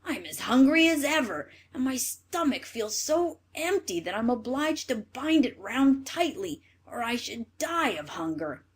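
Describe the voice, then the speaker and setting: A woman voicing a starving, grumbling man in a storybook, with a loud, urgent, plaintive delivery. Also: on the high side, very animated, unhurried, few pauses, husky, exaggerated voice. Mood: desperate, wailing. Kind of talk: storybook character voice.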